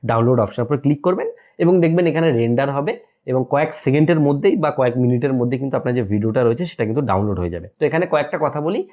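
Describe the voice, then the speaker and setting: A man's voice: medium pitch, warm tone. A man presenting a step-by-step screen tutorial in an instructional way, often asking a question and then answering it himself.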